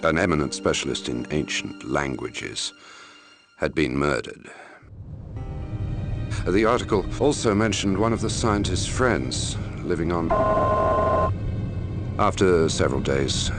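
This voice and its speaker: A man acting as an investigator who narrates his grim logbook in a measured, brooding way for a mystery game, in a gravelly voice. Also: heavily acted. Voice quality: very deep, gravelly. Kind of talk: scripted first-person narration for a mystery game. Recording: music under the voice. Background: music.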